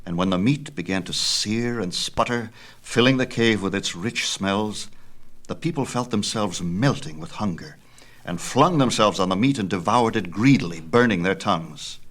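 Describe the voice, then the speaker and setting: A man reading a myth as a dramatic storyteller, with theatrical, expressive delivery. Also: on the low side, normal speed. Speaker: audiobook reader.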